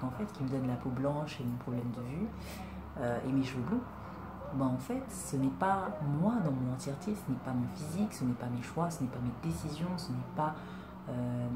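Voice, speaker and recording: A young woman from Congo talking to her webcam in a small room, earnest and reflective, pausing often and asking rhetorical questions. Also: deep voice, reverberant, noisy background. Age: young adult. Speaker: vlogger. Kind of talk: personal talk to camera. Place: indoors, small room, plain wall. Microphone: webcam at desk distance.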